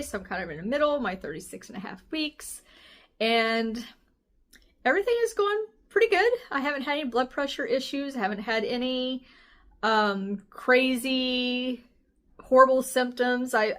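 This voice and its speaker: Higher-pitched woman, slightly raspy, chatting candidly. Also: fairly bright tone. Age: 40s. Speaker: health vlogger. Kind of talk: casual chatty personal update.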